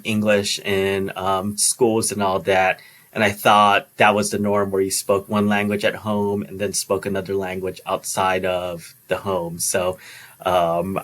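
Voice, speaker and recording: A middle-aged man and school principal giving a reflective personal talk, pausing often with ums and restarts. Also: low-pitched, fairly bright tone. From Los Angeles, USA. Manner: halting, many ums and restarts.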